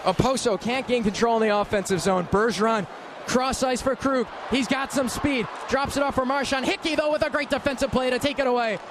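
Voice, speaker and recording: Man, very high voice, live hockey radio commentary, urgent clipped delivery, loud arena noise. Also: a little fast. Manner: excitable, urgent, clipped running description of action. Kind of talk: live sports play-by-play commentary. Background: loud ambience of a hockey arena.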